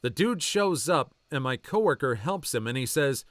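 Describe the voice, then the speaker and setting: Lively man narrating online stories. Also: mid-range voice, normal speed, no echo. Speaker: story narrator. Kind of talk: reading online posts aloud.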